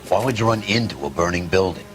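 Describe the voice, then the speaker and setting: An actor playing a blunt, grumbling middle-aged American detective in a 1940s crime video game. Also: male voice, low-pitched, lively intonation, quick pace, music in the background. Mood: gruff, disapproving.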